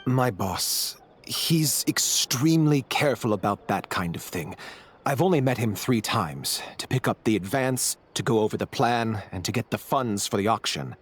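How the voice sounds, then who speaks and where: A man's voice: lowish voice, expressive, normal speed, slight background noise, lightly acted. A man voicing a nervous male go-between turned double agent in a video game, who explains at length in a hesitant, slightly uneasy way. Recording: game audio with faint music underneath.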